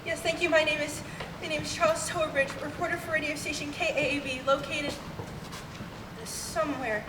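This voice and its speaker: A woman's voice: high voice, fairly even intonation, brisk, close to an everyday voice. A young woman playing a formal, earnest male radio reporter in a stagey parody of old radio news. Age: young adult. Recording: roomy noisy stage, distant mic.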